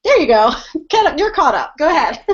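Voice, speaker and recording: Woman hosting a video call chat, pitch jumps all over, muffled lo-fi sound. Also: big pitch swings, normal speed. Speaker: podcast host. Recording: dull lo-fi video call audio.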